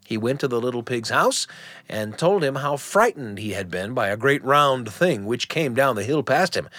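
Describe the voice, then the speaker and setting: A man's voice: medium pitch, expressive, moderate pace, a bit bright, no room sound. A man hosting a radio storytelling show, narrating in a scripted but conversational, wry way. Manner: conversational, wry asides to the listener.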